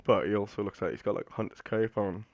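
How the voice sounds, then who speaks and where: A man's voice: on the low side, fairly even intonation, fast, vocal fry, muffled recording, noisy background. A man giving casual live commentary as a game streamer, rambling in an offhand way. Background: game cutscene audio.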